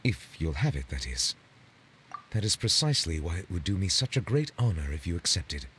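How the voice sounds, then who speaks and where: An actor playing a courteous, solemn dignitary in a video game, speaking in formal, measured, ornate phrases. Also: male voice, low-pitched, big pitch swings, fairly bright tone, a little hiss or hum, poor audio. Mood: earnest, reflective. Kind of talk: video game dialogue acting.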